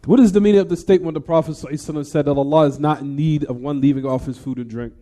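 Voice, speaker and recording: A man's voice: on the high side, fairly even intonation, very fast, warm tone. A man giving a religious lecture in a didactic manner, repeating phrases and putting questions to his listeners as he explains texts.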